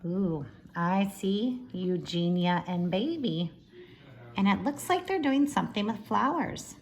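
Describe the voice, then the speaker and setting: A woman reading a storybook aloud to young children like a teacher, expressive, with friendly asides to her listeners. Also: mid-range voice, slow.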